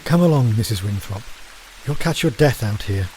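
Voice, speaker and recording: An actor playing a practical gentleman doctor in a period audio drama, questioning and matter-of-fact. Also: fairly low pitch, animated, moderate pace, slight background noise, close to an everyday voice, low-quality recording. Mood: consoling.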